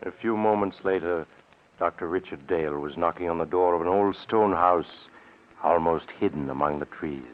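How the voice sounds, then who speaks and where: Tinny vintage radio, male narrator, American accent, very deep pitch, measured, dramatic mystery storytelling. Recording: tinny, noisy old radio recording.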